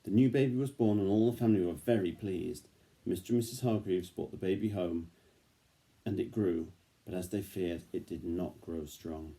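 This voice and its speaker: A man with a very deep, dark and mellow voice reads a picture book to a child. His delivery is gentle and engaging. He often pauses the story to put simple questions to the young listener.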